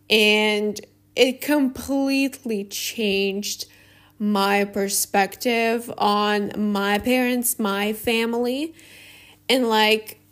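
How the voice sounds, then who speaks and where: A young woman, hosting a casual solo podcast. She rambles and hesitates, with many fillers and restarts. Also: mid-range voice. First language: Russian. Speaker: podcast host.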